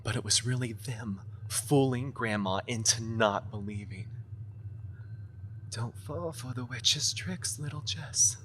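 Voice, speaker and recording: An anxious young woman of a horror radio play, acted by a man, speaks in a bright, tense voice. She is sarcastic, defensive and intense, over faintly noisy ambience. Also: medium pitch, takes it slowly. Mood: hushed, grave storytelling. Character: anxious, irritable young woman haunted by grandmother's witch tale. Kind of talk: audio drama acting, horror storytelling.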